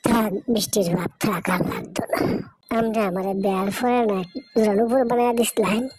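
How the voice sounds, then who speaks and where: Woman acting mellow, plaintive village wife. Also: medium pitch, a little fast, a little hiss or hum, natural voice. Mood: consoling. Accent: rural Bangladeshi Bengali. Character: poor, self-sacrificing, worried village wife and mother. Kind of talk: amateur cartoon moral-story acting.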